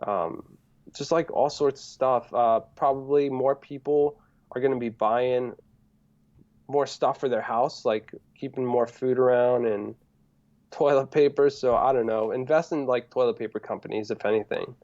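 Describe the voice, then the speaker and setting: Male guest, US-raised, grew up speaking Greek at home; relaxed, rambling live-stream talker, slightly muffled audio. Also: medium pitch, normal speed. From United States. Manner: relaxed, rambling, laughs easily. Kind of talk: casual live-stream chat.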